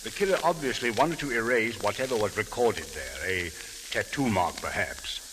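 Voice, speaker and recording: An actor playing a stern, suspicious police captain, speaking formally and deliberately in old radio drama style. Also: male voice, on the low side, animated, natural voice. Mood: grave, probing. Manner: formal, deliberate, uncontracted speech. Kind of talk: 1940s-50s radio detective acting. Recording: hissy old radio recording.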